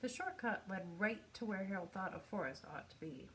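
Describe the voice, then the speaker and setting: A woman's voice: mid-range voice, lively intonation, brisk, a bit bright. Woman reading a children's story, noisy recording. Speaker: storybook reader. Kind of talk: read-aloud children's picture book narration.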